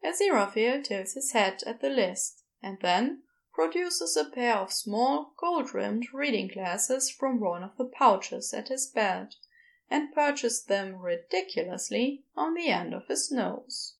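Slow, higher-pitched woman narrator. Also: expressive, gravelly. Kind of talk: scripted fiction narration for a podfic.

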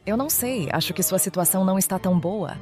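A woman with a Brazilian accent playing a pragmatic, persuasive teenage schoolgirl in audio drama, with measured delivery. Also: medium pitch, expressive, darkish tone, slightly rough, soft background music. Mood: serious.

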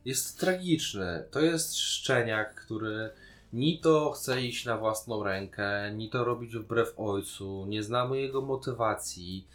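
A man's voice: on the low side, takes it slowly, a bit bright. A man co-hosting a podcast in casual chat, musing and colloquial as he talks. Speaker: podcast co-host. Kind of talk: casual chat, film and series discussion.

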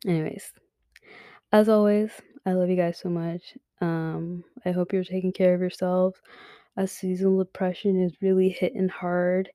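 A woman's voice: lowish voice, little pitch movement, moderate pace, mellow, dry recording, clean studio sound. A young woman hosting a podcast, giving frank advice in a casual monologue full of fillers and swearing, sometimes dropping to a whisper, her voice worn as she loses it. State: losing her voice. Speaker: podcast host.

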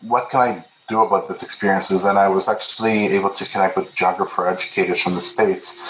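A man's voice: fairly low pitch, a bit bright, slight background noise. A young man from Peru, a native Spanish speaker, talking earnestly as an interview guest and often repeating words as he starts a thought. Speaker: guest researcher. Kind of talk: casual interview talk. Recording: echoey room.

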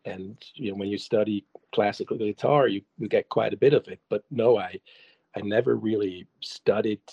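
A man's voice: fairly low pitch, fairly even intonation, normal speed, gravelly, breathy edge, dry recording, dull audio. A man whose first language is German, a songwriter answering interview questions casually, hesitant with many restarts and fillers. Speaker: songwriter and guest.

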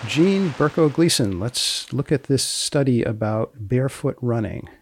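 A man hosting a podcast, putting questions and presenting in a measured, deadpan, inquisitive way. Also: mid-range voice, slight background noise. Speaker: podcast host and magazine editor.

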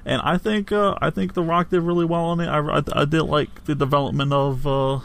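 A man's voice: on the high side, level tone, a little fast, fairly bright tone. A man hosting a podcast in casual chat, informal and given to repeating his phrases. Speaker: podcast host.

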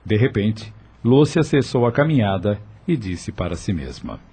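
A man's voice: lowish voice, sing-song, normal speed, mellow, husky. A man narrating a scripted radio drama in a dramatic, literary storytelling manner. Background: background music.

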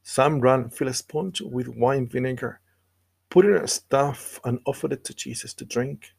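A man delivering a scripted devotional sermon and scripture reading in an earnest, measured way with deliberate pauses. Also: medium pitch, creaky, darkish tone, breathy edge. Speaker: preacher.

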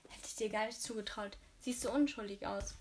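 A woman's voice: mid-range voice, steady tone, brisk, a little hiss or hum, close to an everyday voice. Woman reading as a nosy, teasing young woman. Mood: incredulous, teasing. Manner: probing, incredulous questions.

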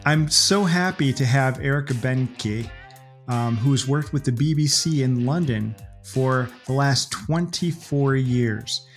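A man's voice: medium pitch, slow. Man hosting a podcast over faint guitar. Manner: friendly introductions, some hesitation fillers. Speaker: podcast host. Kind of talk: podcast interview.

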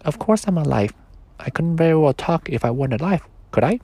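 A timid little plush bedtime creature, as a man puts it on, his voice pushed up high and small. He frets and whines in a worried, nervous way. He sounds close to the mic, with no room around him. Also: animated, fairly quick, warm tone, slightly rough, dry recording. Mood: indignant. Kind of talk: storybook read-aloud.

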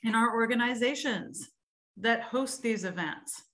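A woman's voice: on the high side, unhurried, a bit bright. Earnest woman podcast co-host. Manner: earnest, questioning, with many "like" fillers. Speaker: podcast co-host and music educator. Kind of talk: casual podcast chat.

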